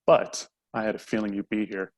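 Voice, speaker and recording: A man's voice: fairly low pitch, steady tone, a little fast, darkish tone, close to an everyday voice. A man in an amateur play acting a protective policeman father with an earnest, explanatory manner. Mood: relieved, earnest.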